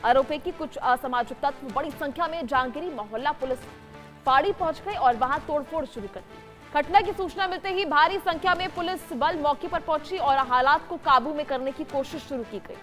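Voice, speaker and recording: A woman's voice: high voice, a little fast, a bit bright. A woman news anchor narrating a breaking report in an emphatic, formal broadcast delivery. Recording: music bed under the voice.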